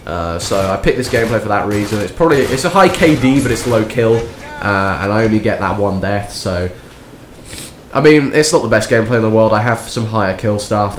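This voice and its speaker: Croaky, nasal young man. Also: fairly low pitch, background noise. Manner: rambling, sarcastic, many restarts. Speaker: gaming commentator. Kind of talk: casual gameplay commentary. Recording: echoey room with faint game sound.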